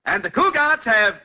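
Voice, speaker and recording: A man's voice: extremely high pitch, exaggerated voice. A man acting a showy, mock-sympathetic quiz show host in theatrical 1940s radio comedy style. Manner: theatrical, announcing, mock-apologetic. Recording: muffled old radio, audience behind.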